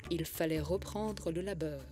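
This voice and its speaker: The woman you would hear reading a solemn, lyrical fable in an animated short, low and unhurried, each line measured out. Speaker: narrator. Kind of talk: poetic storybook narration of an animated short. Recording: music under the voice. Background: music.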